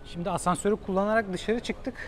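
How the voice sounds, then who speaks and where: A man's voice: sits high, steady tone, fast. A man narrating a casual travel vlog, thinking aloud and chatting with his companion as he buys a metro token. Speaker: travel vlogger. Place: metro station and train, indoors. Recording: noisy public space.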